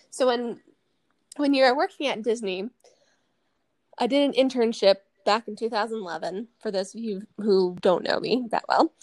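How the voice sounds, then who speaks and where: A woman's voice: mid-range voice, dry recording. A woman in her early thirties co-hosting a casual personal chat, talking in a chatty, rambling way with plenty of "like". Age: early 30s. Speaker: podcast co-host.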